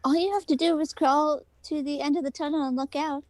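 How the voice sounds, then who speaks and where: High-voiced, insistent girlfriend acted by a woman. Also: fairly even intonation, brisk, a little mellow, no echo, lightly acted. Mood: coaxing. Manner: urgent, coaxing. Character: insistent, pleading, manipulative girlfriend in a story.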